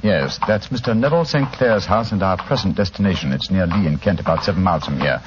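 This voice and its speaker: A man's voice: low-pitched, fast talker, lightly acted. A man playing an assured, courteous detective, giving precise, dramatic exposition in an old radio drama. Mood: companionable, brisk. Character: assured, methodical male detective, courteous and masterful. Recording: dull, noisy old radio recording.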